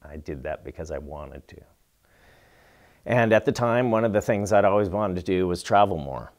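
A middle-aged man seated in a small studio, answering interview questions in a thoughtful, measured way with pauses and restarts. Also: low-pitched, expressive. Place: indoor studio before a plain backdrop.

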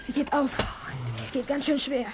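A performer playing an eager, bossy child detective in a German children's radio play, brisk and excitable. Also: high-pitched, very noisy, lightly acted. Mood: excited.

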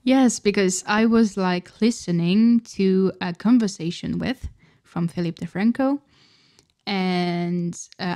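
A woman from France with a French accent chats slowly and unhurriedly as a podcast co-host. She tells stories in a relaxed way, often restarting her sentences, at a middling pitch. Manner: chatty, storytelling with frequent restarts. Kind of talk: casual chat.

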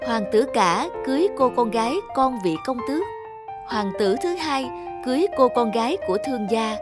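A woman's voice: on the high side, animated, moderate pace, over loud music. A woman reading scripted fairy-tale narration as the storyteller.

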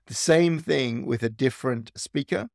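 Man, tech tutorial host, relaxed thinking aloud, gravelly, dry close sound. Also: mid-range voice, normal speed, no echo. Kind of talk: casual screen-recorded walkthrough.

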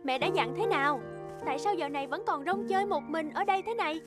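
A cartoon mother donkey, played by a woman, has a very high voice set over loud music. She is stern and anxious, scolding insistently. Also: fast talker. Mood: scolding, worried. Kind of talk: animated fairy-tale voice acting.

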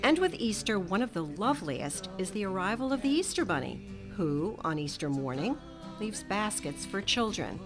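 A woman reading a storybook aloud to children in a gentle, expressive way. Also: mid-range voice, music in the background. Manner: gentle, expressive, slow and lively. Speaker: storybook reader.

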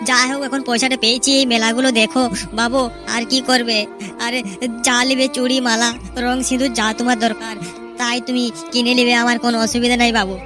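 A woman's voice: on the high side, little pitch movement, quick pace, music in the background. A performer voicing several fawning male characters in a cartoon. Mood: tender. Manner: eager and fawning. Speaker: voices several cartoon men. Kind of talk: Bengali cartoon voice acting.

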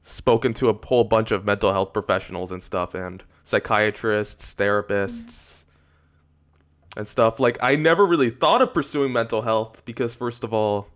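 A man's voice: lowish voice, moderate pace. Young man hosting a rambly reaction stream. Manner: rambling, filler-heavy, many likes. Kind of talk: casual reaction commentary. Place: small room at a desk in a gaming chair. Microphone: large desk microphone with headset.

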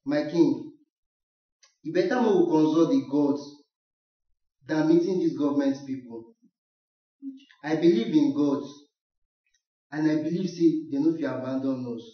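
A man's voice: on the high side, unhurried, long pauses, close to an everyday voice. A student actor, a man with a Nigerian accent, playing an insistent traditionalist village chief who pleads in a declamatory way in a radio drama. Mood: insistent pleading. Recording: dull, echoey, distant from mic.

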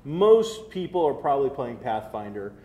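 A man's voice: medium pitch, very animated, normal speed, dark tone. An American man in his thirties co-hosting a geek show, chatting casually and explaining details with enthusiasm, seated indoors at a table. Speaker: co-host of a geek culture show. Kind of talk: casual review chat. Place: medium-sized indoor room, seated at a table. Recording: roomy echo with faint background noise.

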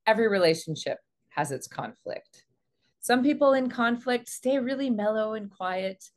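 A woman in her forties speaking as a relationship coach, explaining things to camera in an earnest, measured way. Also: on the high side, animated, music in the background. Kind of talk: explanatory advice talk to camera. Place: small indoor room.

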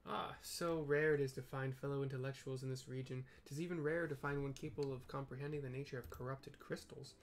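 A man's voice: mid-range voice, fairly even intonation, fast, few pauses, close to an everyday voice. A man voicing a pompous, wordy professor from a fantasy game, with theatrical scholarly diction, over game music. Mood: pleased, pompous. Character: pompous, wordy male professor of crystal science. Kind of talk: reading game dialogue aloud.

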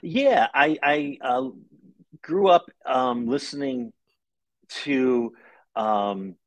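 An American man in his fifties speaks in a mid-pitched voice with very little room echo. He talks like an interview guest, recounting things in a conversational way. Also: animated, drawn-out words. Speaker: guest author. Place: living room at home, indoors. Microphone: video call.

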